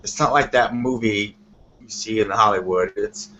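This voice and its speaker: A man's voice: lowish voice, moderate pace, sharp tone. A man calling in as a guest tarot reader, explaining things earnestly in casual conversation. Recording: dull, noisy internet call.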